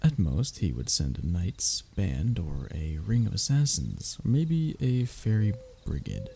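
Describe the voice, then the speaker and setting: A man's voice: low-pitched, wildly swooping pitch, measured pace, gravelly, warm tone, a little hiss or hum, exaggerated voice. A man playing a young royal heir in a roleplay audio drama, formal and pompous in his wording but often faltering into nervous stammers as he addresses the listener. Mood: persuasive.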